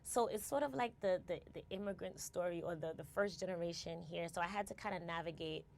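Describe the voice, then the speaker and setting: New woman around 40s, talks it through, lots of "right?", some vocal fry, faint hiss behind. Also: on the low side, fast talker. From United States. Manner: reflective, tags phrases with "right?" and restarts words. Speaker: guest, company founder. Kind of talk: casual interview conversation.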